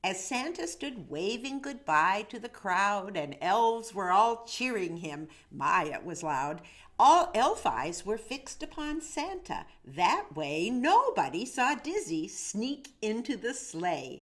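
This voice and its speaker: A woman author reading her own rhyming children's storybook aloud in an expressive, measured verse delivery. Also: medium pitch.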